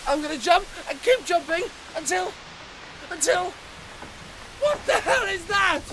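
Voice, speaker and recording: A man's voice: very high voice, animated, measured pace, noisy background, heavily acted. A man playing an indignant, flustered, spluttering ordinary Englishman in a radio play. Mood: furious. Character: outraged, bewildered ordinary Englishman, easily flustered. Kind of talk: radio drama acting.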